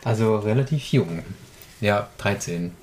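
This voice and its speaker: A man's voice: lowish voice, animated, measured pace, a little mellow, slight background noise. A man in his thirties, a podcast guest, talking reflectively in casual conversation with many hesitations. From rural Germany. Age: 30s.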